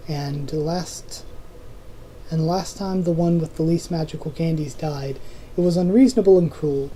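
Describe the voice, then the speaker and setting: A hoarse host reading a novel aloud, breaking off for quick asides and going back over fumbled lines. The tone is deep and mellow, at a middling pitch. The delivery stays relaxed and unpolished. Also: slight background noise, rough recording. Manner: informal reading with restarts and self-corrections. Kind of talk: casual novel readthrough with asides.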